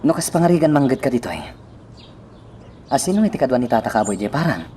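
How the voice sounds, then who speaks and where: A man's voice: medium pitch, big pitch swings, quick pace, warm tone, slight background noise, lightly acted. A man playing a helpful, reassuring young man in a romantic radio drama, with an Ilocano accent.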